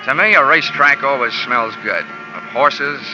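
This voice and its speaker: A man's voice: medium pitch, moderate pace, lightly acted. An actor playing a wisecracking male insurance investigator who also narrates, dry and matter-of-fact. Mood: wry. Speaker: narrator and lead. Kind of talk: 1950s radio drama acting and narration. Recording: lo-fi old radio broadcast with music.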